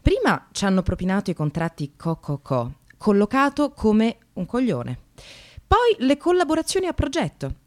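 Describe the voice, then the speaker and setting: A woman's voice: medium pitch, darkish tone, lightly acted. A woman playing a disillusioned receptionist and mother in a radio drama monologue, sardonic, emphatic and confessional. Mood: bitterly ironic. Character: precarious receptionist, disillusioned separated mother, bitterly ironic. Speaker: actress narrating a monologue.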